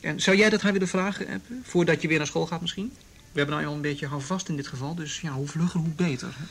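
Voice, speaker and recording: Patient, higher-voiced police officer. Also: male voice, fast talker, fairly bright tone, a little hiss or hum. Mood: courteous, persuasive. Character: patient, courteous male police officer, gently probing. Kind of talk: Dutch radio drama acting.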